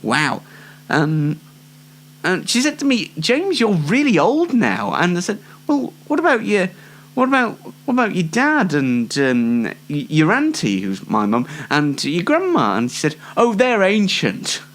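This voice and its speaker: Casting: British man, 20, solo show host, dry and rambling, with swooping intonation and slow delivery. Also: sits high, wildly swooping pitch, fairly bright tone. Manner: dry, tongue-in-cheek, rambling. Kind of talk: informal solo show presenting.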